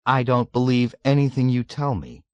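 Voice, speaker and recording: A man voicing an earnest, easily outraged young American man, pitched well above his own voice. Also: big pitch swings, fairly quick, no echo, highs cut off, lightly acted. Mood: puzzled. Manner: bashful, then outraged, cries out.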